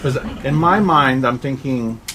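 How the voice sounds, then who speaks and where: A man's voice: medium pitch, measured pace. Casual man speaking amid a crowd. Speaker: audience member asking a question. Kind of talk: casual, unscripted question. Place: bookstore event room. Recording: clear crowd sound in event room.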